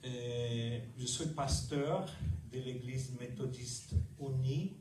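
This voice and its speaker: A man with a Brazilian accent preaches slowly, setting his words out in short, deliberate phrases. His voice sits at an ordinary middle pitch. It reaches the mic from some distance, in a roomy, echoing space. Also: a little mellow, slight background noise. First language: Portuguese. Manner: slow, measured delivery with pauses between short phrases. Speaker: pastor. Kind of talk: sermon.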